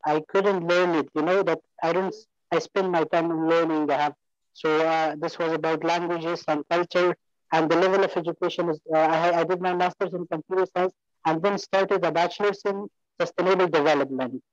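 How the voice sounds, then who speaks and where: A man's voice: fairly high pitch, fairly even intonation, a little fast, no echo. A young man, Pashto speaker, introducing himself on a video call with many fillers and restarts. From Afghanistan. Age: young adult. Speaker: discussion participant. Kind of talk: casual self-introduction. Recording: slightly muffled dry video call audio.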